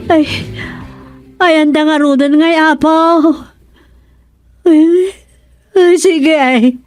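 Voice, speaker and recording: A woman's voice: sits high, slow, darkish tone, soft background music. A woman playing a worried, devout older village mother in an Ilocano radio drama, exclaiming and lamenting with an Ilocano Filipino accent. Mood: flustered, alarmed.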